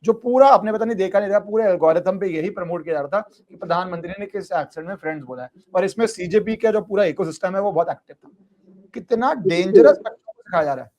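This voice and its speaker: An Indian man with a high voice, hosting a political talk show. He argues in quick, heated bursts, stressing his points hard. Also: a little mellow. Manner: indignant, rapid and emphatic. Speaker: podcast host. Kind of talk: animated political discussion.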